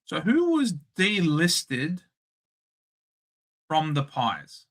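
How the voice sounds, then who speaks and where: A man's voice: on the high side, wildly swooping pitch, very slow, fairly bright tone. An Australian man hosting a casual livestream, chatting, thinking aloud and reading out viewers' names. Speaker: livestream host.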